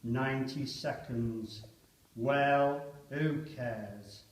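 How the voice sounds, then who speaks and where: A man's voice: mid-range voice, drawn-out words. A man performing a dramatic poem as a stunned, fatalistic British soldier of the First World War waiting to attack. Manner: dramatic declaiming with sudden loud shouted countdown calls. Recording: echoey church, distant microphone.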